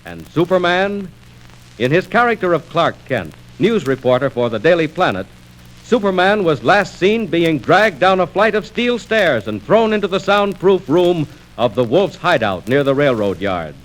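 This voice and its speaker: 40s radio narrator guy, american, dramatic, voice a bit high and very animated. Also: moderate pace, rough recording.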